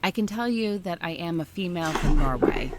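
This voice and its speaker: A woman reading a first-person story aloud in a steady, scripted narration style. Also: lowish voice, brisk, over a noisy crowd.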